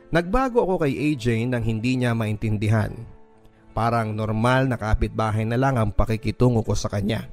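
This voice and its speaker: A man's voice: medium pitch, a little mellow. Filipino man narrating over music. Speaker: radio drama host reading a listener's letter. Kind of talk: dramatic scripted first-person story narration. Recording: music bed under the voice.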